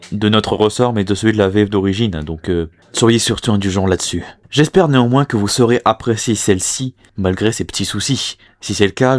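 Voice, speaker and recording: Mid-pitched man giving an introduction. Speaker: fandub presenter. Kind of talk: introductory disclaimer narration.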